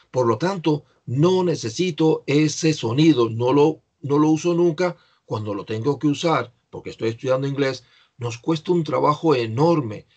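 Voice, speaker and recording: Husky older male teacher on a video call. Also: on the high side, darkish tone. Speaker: English teacher. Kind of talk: online class, coaching a student.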